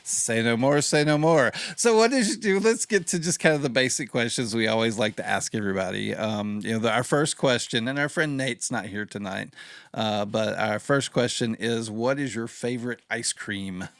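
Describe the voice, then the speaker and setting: A man hosting a casual podcast interview, chatty and relaxed, with lots of fillers. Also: mid-range voice, normal speed, smooth, dry recording. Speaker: podcast host.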